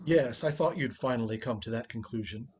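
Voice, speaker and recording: A man's voice: medium pitch, brisk, slight background noise, close to an everyday voice. A man acting a wry, unflappable upper-class ex-husband in a radio play, dry and teasing. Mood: dry, needling.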